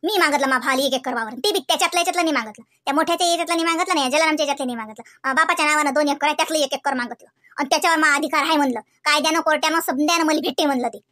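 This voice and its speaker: Lady doing stubborn cartoon village wife, varhadi marathi accent, super high sharp voice, argues nonstop, fast. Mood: demanding, defiant. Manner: insistent, repetitive arguing. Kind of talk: amateur comedy cartoon dialogue.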